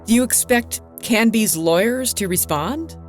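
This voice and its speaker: A woman's voice: fairly high pitch, expressive, unhurried, faint music behind. A woman playing a composed radio interviewer questioning her colleague with concern in an audio drama.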